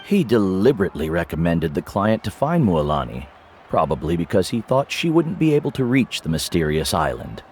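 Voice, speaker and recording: A calm, wise old guide. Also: male voice, low voice, slight background noise. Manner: calm, measured and explaining. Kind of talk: video game voice acting. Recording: game audio with background music.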